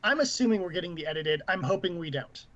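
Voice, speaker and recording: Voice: medium pitch, expressive, quick pace, a bit bright. A podcast co-host chatting casually about video games. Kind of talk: casual gaming chat. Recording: dull lo-fi stream audio.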